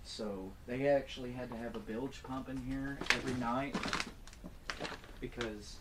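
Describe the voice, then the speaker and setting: A man's voice: medium pitch, fairly even intonation, unhurried. A man talking casually as a local guide, showing people around on a tour. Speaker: local guide to an abandoned building. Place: abandoned building, outdoors at the start. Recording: noisy location sound.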